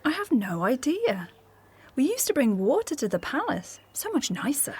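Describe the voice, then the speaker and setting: A woman's voice: sits high, sing-song, normal speed, a little mellow, slightly rough, heavily acted. An actress in a scripted audio drama playing a princess's complaining young palace maid, grumbling about her duties.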